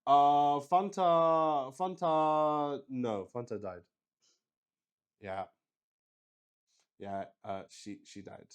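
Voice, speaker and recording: A man's voice: fairly high pitch, very slow, pauses often, clear, resonant tone. A man hosting a solo tabletop game stream, chatting casually and unscripted in a rambling way that often restarts sentences. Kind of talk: casual livestream chat, unscripted.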